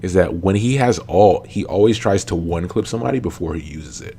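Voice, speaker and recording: Deep-voiced man, sniffly and a bit raspy. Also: brisk. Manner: relaxed, lots of ums and you-knows. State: sick, slightly raspy, sniffly. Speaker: podcast co-host. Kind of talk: casual esports podcast chat.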